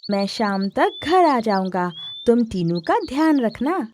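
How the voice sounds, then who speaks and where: A gentle father bird in a cartoon, acted by a woman, speaks with a mellow tone and lively pitch. Faint noise and chirps run behind. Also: measured pace. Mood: instructing. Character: caring father bird, apologetic and earnest. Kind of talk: cartoon storybook character voice.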